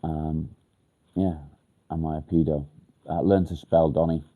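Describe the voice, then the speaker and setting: A man's voice: a bass voice, level tone, a little slow, phone-quality sound. A man from England hosts a livestream, answering viewer comments in a blunt, casual way. He sounds nasal and congested from a blocked nose. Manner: blunt, straight to the point. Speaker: livestream host.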